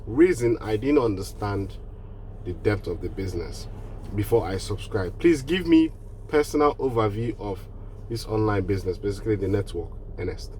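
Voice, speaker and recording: A man's voice: lowish voice, darkish tone, slight background noise, poor audio. A man from Nigeria with a cold, giving earnest, casual advice on online business straight to the camera and often restarting his phrases. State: has a cold.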